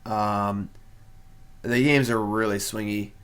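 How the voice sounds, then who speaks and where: A man's voice: fairly low pitch, fairly even intonation, takes it slowly, a bit bright, smooth. Laid-back man commenting on his game. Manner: laid-back, chatty, wry asides and frequent fillers. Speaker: streamer playing card game. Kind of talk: casual live-stream commentary.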